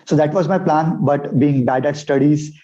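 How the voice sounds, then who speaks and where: A man's voice: on the high side, normal speed. Indian English man over lo-fi audio. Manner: relaxed, uses many fillers like uh and you know. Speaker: podcast guest. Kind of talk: casual interview storytelling.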